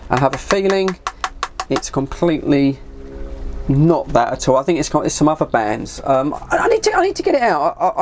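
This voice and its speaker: A man's voice: mid-range voice, a little mellow, slight background noise. A middle-aged man with an English accent chats casually and ramblingly to viewers, self-deprecating and mildly sweary. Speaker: hobbyist vlogger and CB radio enthusiast. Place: shed.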